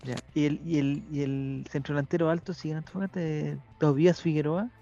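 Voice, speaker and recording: A man's voice: on the high side, fairly even intonation, fairly quick, dark tone, muffled recording. A Chilean man hosting a casual football podcast, joking and slangy as he hands out the questions. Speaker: host.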